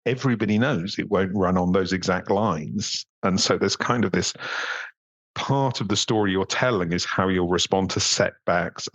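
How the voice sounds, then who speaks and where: Interviewee, male, husky and tentative, conversational, dry but slightly dull recording. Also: low-pitched, a bit bright, no echo. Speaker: research funder, interviewee. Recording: slightly dull, muffled sound.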